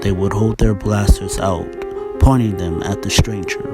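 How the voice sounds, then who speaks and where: A man's voice: on the low side, steady tone, normal speed. A man narrating a scripted science-fiction story for an audio drama. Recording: very loud music bed over narration.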